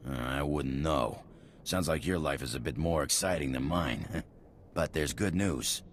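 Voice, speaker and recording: Very deep-voiced, folksy working man. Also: measured pace, a little hiss or hum. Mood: friendly, wry. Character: plain-spoken, weary colony engineer, gruff man. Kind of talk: video game dialogue.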